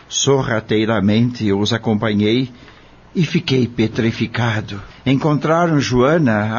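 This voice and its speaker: A man plays a troubled Brazilian witness narrating a radio drama. His husky voice is grave and deliberate. The recording is slightly muffled. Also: medium pitch. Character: troubled imprisoned male witness recounting a crime. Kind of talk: scripted first-person drama narration. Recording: dull lo-fi audio.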